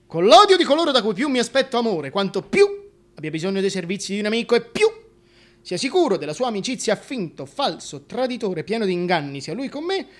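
A man's voice: high-pitched, sing-song, quick pace. A man acting a scheming, foul-mouthed, two-faced political fixer in a solo radio play, ranting and sarcastic. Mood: solemn, declamatory.

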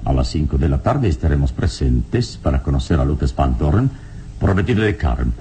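An actor playing a serene, noble adventurer hero in an old radio serial, speaking in a measured, solemn way. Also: male voice, animated, dark tone. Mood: calm, authoritative. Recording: noisy, rumbling old radio recording.

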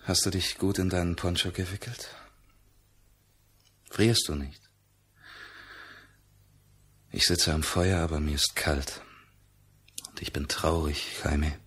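An actor playing a companionable, mournful feather hunter in a dramatic, emotive German radio play. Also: male voice, low voice, measured pace, long pauses, fairly bright tone. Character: Narciso, male jungle feather hunter, companionable, mournful, hurt.

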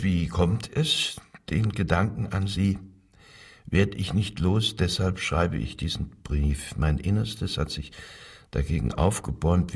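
An actor playing a cowed, evasive factory foreman under interrogation in a radio crime drama, speaking haltingly in a husky voice. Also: low-pitched, darkish tone, distant mic, exaggerated voice. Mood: halting, subdued. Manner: hesitant, halting, submissive, defensive.

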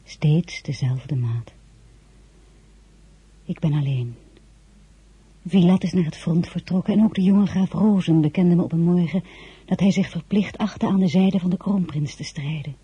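A woman's voice: on the low side, a little slow, pauses often, dark tone, slightly rough, no echo, dull audio. An actress playing a proud, guarded lady in a radio drama, speaking in a restrained, formal way. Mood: pensive, lonely. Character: proud, guarded lady, emperor's former love. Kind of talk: dramatic radio-play acting and narration.

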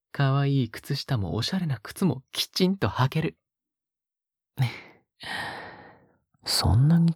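A woman playing a sweetly possessive, sadistic young male captor in an audio drama, murmuring intimately to the listener in a husky, gently menacing voice that slips into whispers. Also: rumbling low, dry recording, clean studio sound, full character voice. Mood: coolly pressing. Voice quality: breathy, husky.

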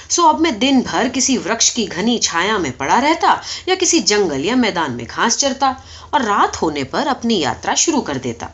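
A woman reading a story aloud as expressive scripted narration, in the manner of an audiobook reader. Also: fairly high pitch, husky. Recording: dull, lo-fi recording.

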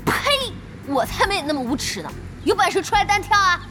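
An actress playing a feisty, hot-tempered young woman gamer in an audio drama, outspoken and quick to exclaim. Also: extremely high pitch, sing-song, background noise. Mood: outraged.

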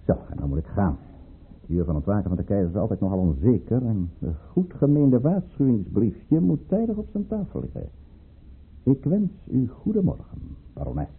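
A scheming, domineering count in an old radio play. His suave, theatrical speech rises and drops widely in a very husky voice, on a muffled old recording. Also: lowish voice, sing-song, moderate pace, exaggerated voice. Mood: silky, commanding. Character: scheming, smooth, domineering count plotting to control the tsar. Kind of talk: Dutch radio play acting.

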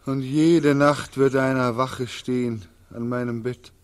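A man's voice: medium pitch, fairly even intonation, measured pace, close to an everyday voice. A man acting in a 1940s radio play as a despairing soldier home from war, declaiming in an anguished, incantatory way. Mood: feverish dread. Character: despairing war returnee Beckmann, haunted, exhausted, bitter.

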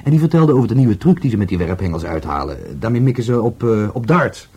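Casting: a knowing, stubborn witness, dark rough-edged voice, blunt and sardonic radio play delivery. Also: male voice, lowish voice, sing-song, quick pace, lo-fi sound. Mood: knowing, insistent.

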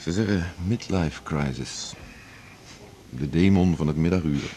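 A man's voice: a bass voice, unhurried, mellow, a little hiss or hum. An actor playing a workaholic middle-aged male internist in a 1980s radio drama, talking in a wry, self-mocking, confiding way. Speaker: hospital internist and chief.

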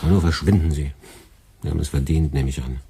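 A middle-aged boss in a radio play, dry and ironic, always lecturing. His voice is very deep, dark and husky. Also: quick pace, full character voice. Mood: sardonic. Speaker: department head.

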